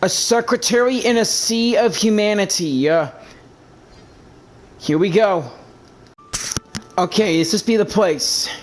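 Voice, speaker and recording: A woman's voice: medium pitch, takes it slowly, pauses often, slight background noise. A woman doing casual playthrough commentary, reading game dialogue choices aloud as she plays. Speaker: gamer reading out dialogue choices while playing.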